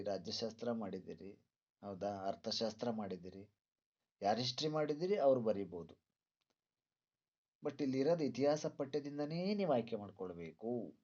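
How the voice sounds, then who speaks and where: A man's voice: medium pitch, darkish tone. Male lecturer in dull, lo-fi sound. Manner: didactic, repeats points, asks rhetorical questions. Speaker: teacher, education lecturer. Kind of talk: exam-review lecture to students.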